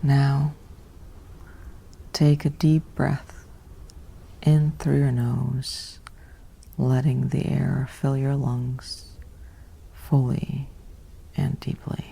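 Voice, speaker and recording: A woman softly guiding a sleep meditation in a hushed, half-whispered, soothing delivery with long pauses. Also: a bass voice, level tone, vocal fry, husky. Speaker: narrator of sleep stories and guided meditations. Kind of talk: slow guided sleep meditation, soothing bedtime reading.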